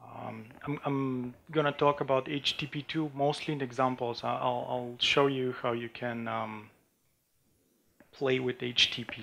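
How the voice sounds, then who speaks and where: A man from Bulgaria, giving a technical conference talk with a live demo and talking in a halting way, with many restarts and fillers. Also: medium pitch, creaky, a bit bright. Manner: halting, frequent restarts and fillers while demoing. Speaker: conference speaker. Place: conference room before an audience.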